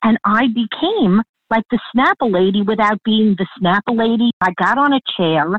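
Woman, Long Island accent, interview guest, lively storytelling, higher voice, tinny phone line, dry. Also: no echo. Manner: lively, laughing, talks in quick bursts with restarts.

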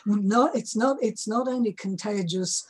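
An older woman in her seventies speaks as a guest author in a conversational interview. Her voice is husky and of middling pitch. She is emphatic and motivational, often repeating a key phrase for weight. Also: moderate pace, a little mellow, no room sound. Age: early seventies.

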